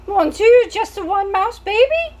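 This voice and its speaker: A woman's voice: very high-pitched, expressive, a little slow, pitched above the performer's own voice. A woman voicing a vain, dramatic French mother mouse, speaking with a French accent in a sighing, self-pitying way. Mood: mournful.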